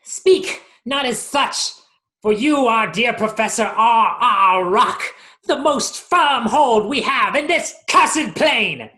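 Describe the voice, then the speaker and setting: A woman's voice: fairly high pitch, expressive, slow, sharp tone, exaggerated voice. Woman playing earnest, melodramatic castaway underling. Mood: pleading. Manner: theatrical, declamatory. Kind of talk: absurdist radio play acting. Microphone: video call.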